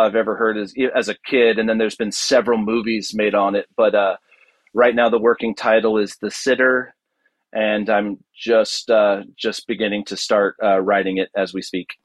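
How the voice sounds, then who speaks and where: A man's voice: fairly low pitch, little pitch movement, normal speed, no room sound. A man speaking casually as an interview guest, an enthusiastic storyteller who often stops and restarts his sentences. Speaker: podcast guest, audio drama producer.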